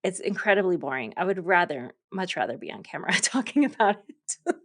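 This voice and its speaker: A woman's voice: lowish voice, sing-song, moderate pace, fairly bright tone. A woman in her thirties, a podcast host being interviewed, talking casually with lots of fillers and frequent laughter. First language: English. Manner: chatty, laughs often, many fillers.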